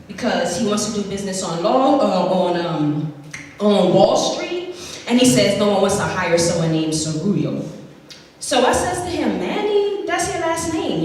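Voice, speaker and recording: A woman playing a sassy Puerto Rican woman who tells a wry story about her brother, dropping low to mock his voice, in a stage monologue. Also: natural voice. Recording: roomy hall from far off.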